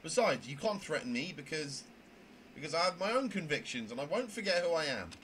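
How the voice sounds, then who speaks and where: A man's voice: a little high, sing-song, brisk, a bit bright. Man acting out a flustered, indignant young trainee, noisy recording. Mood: indignant, worked up. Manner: earnest, flustered, stammering with indignation. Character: anxious, indignant, rule-bound young trainee mediator, Winter Harrison. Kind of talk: amateur voice acting of a visual novel.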